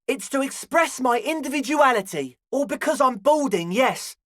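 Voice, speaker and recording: Game role: earnest twenty-year-old club kid, eager and a bit grandiose, very animated delivery, close dry sound. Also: male voice, extremely high pitch, moderate pace, no echo. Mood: defensive. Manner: slacker slang mixed with grandiose phrasing. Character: balding twenty-year-old raver dreamer, eager, earnest, slightly pompous. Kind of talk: video game character dialogue.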